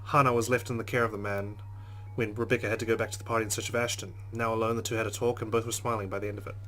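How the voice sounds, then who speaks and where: A man's voice: lowish voice, little pitch movement, rapid-fire, fairly bright tone, poor audio. A man playing a visual novel, reading its narration aloud and adding casual, joking asides. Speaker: let's play gamer. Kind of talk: reading game text aloud with commentary.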